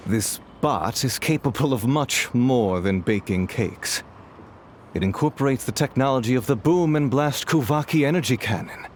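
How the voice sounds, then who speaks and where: A man acts out a scheming, well-mannered game villain of a businessman. His delivery swings widely in pitch and drips with smug courtesy. Faint ambient noise sits under the voice. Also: close to an everyday voice. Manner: smooth, mocking politeness. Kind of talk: video game voice acting.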